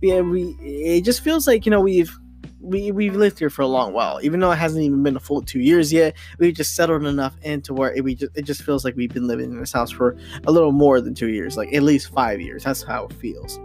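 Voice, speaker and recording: A young man hosting a casual solo podcast, rambling and excitable, full of restarts and fillers. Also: fairly high pitch, brisk, soft background music. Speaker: podcast host.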